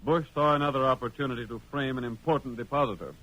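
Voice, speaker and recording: A man's voice: medium pitch, fast, pushed and bright, rough recording. An American man narrating a radio western as announcer in a scripted old-time style.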